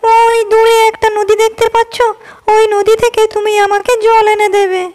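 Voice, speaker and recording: A woman voicing a kind, wise fairy queen in a storybook cartoon, speaking in a gentle, teaching tone. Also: very high-pitched, level tone, moderate pace. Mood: instructing.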